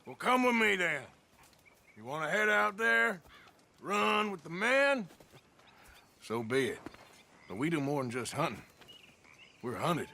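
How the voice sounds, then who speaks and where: An actor playing a gruff, blunt middle-aged outlaw in a video game cutscene, sarcastic and plain-spoken. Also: male voice, a little high, very animated, unhurried, pauses often, bright, forceful tone, full character voice. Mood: angry. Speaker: outlaw gang member.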